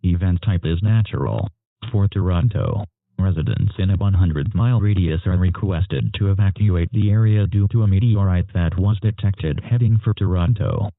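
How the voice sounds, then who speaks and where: Very deep gravelly male alert announcer. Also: normal speed. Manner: flat, formal delivery. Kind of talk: scripted emergency alert announcement. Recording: echoey, narrow phone-like alert broadcast.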